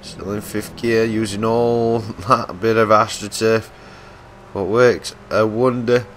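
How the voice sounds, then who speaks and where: A man giving chatty live commentary on a racing game, his voice croaky and hoarse as he loses it, often restarting his sentences. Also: lowish voice, fairly even intonation, takes it slowly, a little hiss or hum.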